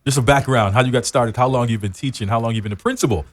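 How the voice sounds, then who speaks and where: A man's voice: mid-range voice, fast, no echo. A man hosting a casual studio interview, talking informally and with effusive encouragement to his guests. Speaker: talk show host. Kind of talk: casual radio interview chat.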